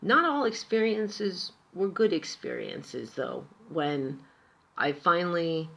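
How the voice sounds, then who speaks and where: A woman's voice: lowish voice, slow pace, vocal fry. An American woman from Pennsylvania, talking to camera in a chatty, emphatic vlog about her experiences abroad. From Pennsylvania, USA. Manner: chatty and emphatic, with lively asides. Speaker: vlogger. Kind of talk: casual storytelling monologue.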